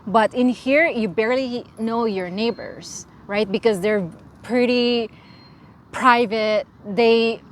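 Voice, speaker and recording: Woman, Filipino accent, young adult, frank and earnest, fairly high voice, restarts words, faint background noise. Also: slow pace. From Philippines. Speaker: interviewee. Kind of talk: casual interview.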